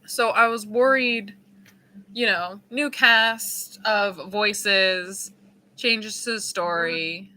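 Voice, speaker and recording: A woman's voice: mid-range voice, extremely slow, bright, forceful tone, rough recording. A woman streamer chatting casually and teasing her friend while playing a game. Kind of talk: casual chat while gaming.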